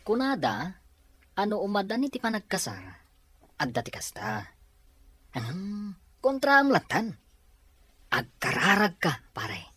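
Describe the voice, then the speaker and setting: Man playing a husky, animated, easygoing buddy. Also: high-pitched, unhurried, long pauses, no echo, exaggerated voice. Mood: reassuring. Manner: casual, reassuring. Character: easygoing young buddy, reassuring and teasing. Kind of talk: Ilocano radio drama acting.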